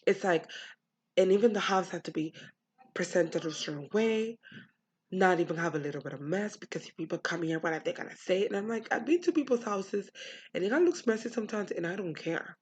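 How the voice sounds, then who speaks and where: A woman's voice: lowish voice, animated, brisk, darkish tone, slight background noise. A young adult woman from the United States talking bluntly and frankly to camera about her upbringing, swearing freely. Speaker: vlogger. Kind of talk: candid personal monologue.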